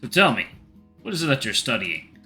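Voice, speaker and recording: A man's voice: medium pitch, big pitch swings, fairly quick, pushed and bright, soft background music. A man voicing a stern, disapproving middle-aged father in an audio story, speaking in a curt, probing way.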